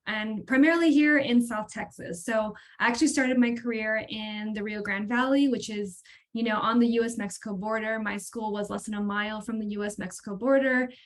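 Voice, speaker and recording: Higher-pitched American woman on a panel. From Hawaii, United States. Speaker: professional learning facilitator, former teacher. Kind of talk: casual panel talk with personal anecdotes. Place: indoors in front of a colourful backdrop.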